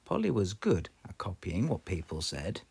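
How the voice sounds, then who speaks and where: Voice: low-pitched, huge pitch swings, moderate pace, creaky, a little mellow, slightly rough. A storybook narrator with a British accent, reading aloud expressively for children.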